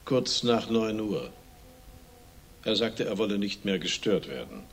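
Formal, discreet low-voiced butler. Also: male voice, sing-song, measured pace. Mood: composed, formal. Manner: formal, deferential, precise. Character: formal, discreet long-serving male butler, composed. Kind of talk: German radio crime drama dialogue.

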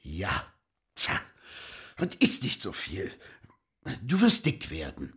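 An actor playing a blunt, skeptical man who quizzes a child, speaking deliberately in an audio drama. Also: deep voice, wildly swooping pitch, pushed and bright, heavily acted. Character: adult man testing a child with mental arithmetic, blunt. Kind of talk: German audio drama acting.

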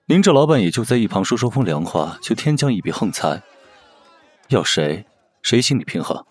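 Casting: male actor as young card sharp on a boss's payroll, deep, animated, wry and cheeky. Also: moderate pace, slightly rough. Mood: sarcastic grumbling. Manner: wry, grumbling. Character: cheeky, shrewd young male gambler working for boss. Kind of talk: Chinese audio drama acting with inner monologue.

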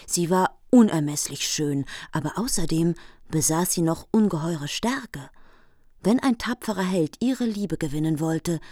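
Woman narrating a radio play, lowish voice, close mic, totally dry studio sound. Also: expressive, measured pace, mellow, dry recording. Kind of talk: scripted narration of a radio drama. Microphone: close, dry studio microphone.

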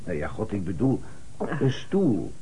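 Probing, mellow-voiced police commissioner. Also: male voice, fairly low pitch, huge pitch swings, unhurried, full character voice. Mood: patiently pressing. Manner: questioning, persistent, patronising. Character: probing, dryly insistent male police commissioner. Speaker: actor in a radio play. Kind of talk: Dutch radio play acting, interrogation dialogue. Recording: noisy old radio play recording.

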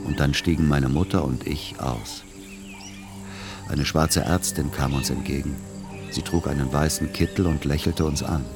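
An actor playing a devoted, patient grown son who also narrates a literary radio play in a measured, gentle, melancholy way. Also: male voice, a bass voice, fairly even intonation, over music.

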